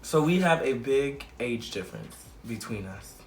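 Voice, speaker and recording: A man in his mid twenties hosting a casual chat, animated and slangy, hyping up the listener. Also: medium pitch, normal speed, poor audio. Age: 20s. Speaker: host.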